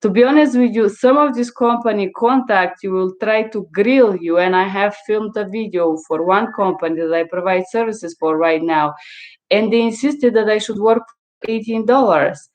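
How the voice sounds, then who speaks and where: A woman's voice: mid-range voice, normal speed, rough recording. Emphatic woman. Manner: emphatic, blunt, repeats words for stress. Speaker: interpreter and mentor hosting a livestream. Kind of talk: informal live advice talk to viewers.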